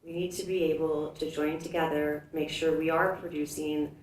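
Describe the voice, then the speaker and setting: A woman's voice: low-pitched, level tone, moderate pace. A woman from Hawaii giving a campaign speech at a forum as a candidate, in a conversational manner. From Hawaii, United States. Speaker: school board candidate. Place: indoor public forum room. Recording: echoey room from a distance, faint noise.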